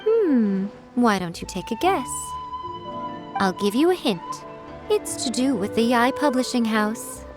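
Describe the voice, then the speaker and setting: An actress voicing a sly, elegant fox shrine priestess who teases and schemes in a video game. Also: female voice, fairly high pitch, sing-song. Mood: teasing. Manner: teasing, smug, unhurried. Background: game music.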